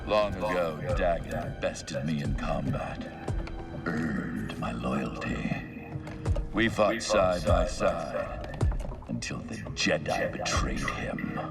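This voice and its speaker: An immense alien warrior villain from a video game, centuries old and bound by honour. The voice is bottomlessly low and very slow, solemn and bitter, with menace in every formal phrase. Loud music plays around it. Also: male voice, animated, full character voice. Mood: grave, bitter. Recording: distant game audio under loud music.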